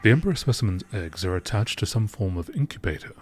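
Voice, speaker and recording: A man reading scripted narration in a nature documentary style, in a measured, formal manner. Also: fairly low pitch, animated, darkish tone, slight background noise. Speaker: narrator reading game text.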